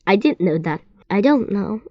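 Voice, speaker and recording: A woman reading a children's story aloud, expressive and often stumbling and repeating words. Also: mid-range voice, moderate pace, dry recording, lo-fi sound.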